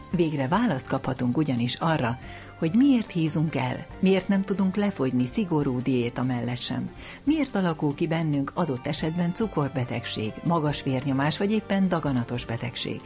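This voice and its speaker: A woman's voice: low voice, a little mellow. Woman presenting, music underneath. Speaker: radio presenter. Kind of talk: scripted narration for a science programme.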